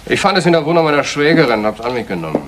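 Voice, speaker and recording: Casting: male actor as cool, unrepentant blackmailer, medium-pitched and scornful, vintage crime radio play with light noise. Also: very animated, very fast, close to an everyday voice. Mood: cynical, unrepentant. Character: cynical, brazen male blackmailer, coolly unafraid. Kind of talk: retro German radio crime drama acting.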